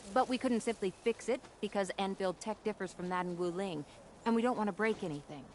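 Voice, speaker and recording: An actress playing a puzzled, careful technician in a video game. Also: female voice, medium pitch, brisk, a little mellow, slight background noise, natural voice. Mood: puzzled, cautious. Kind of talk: scripted video game dialogue.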